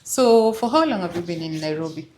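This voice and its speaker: A woman's voice: lowish voice, lively intonation, fairly quick, a little hiss or hum. A woman hosting an interview, asking questions and murmuring along as her guest talks. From Kenya. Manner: frequent soft backchannel murmurs. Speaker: host.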